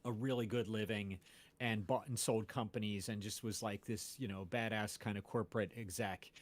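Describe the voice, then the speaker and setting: A man's voice: lowish voice, fairly even intonation, moderate pace. A man, a podcast host, chatting casually about music with many fillers and restarts.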